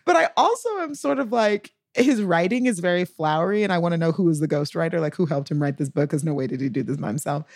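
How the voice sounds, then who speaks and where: A woman co-hosting a podcast in a casual, animated chat with slangy, profane talk. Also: fairly low pitch, quick pace, few pauses, no room sound, clean studio sound. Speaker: podcast co-host.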